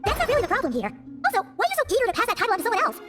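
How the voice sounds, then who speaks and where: A tiny, chatty fairy companion for a video game. The voice is extremely high and swoops animatedly at a very fast clip. It is blunt, childlike and openly expressive. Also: female voice, over music, heavily acted.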